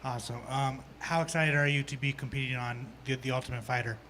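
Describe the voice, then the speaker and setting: A man's voice: mid-range voice, steady tone, quick pace, pushed and bright, slight background noise. A man interviewing a fighter in a casual, friendly way. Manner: casual, friendly, uses slang.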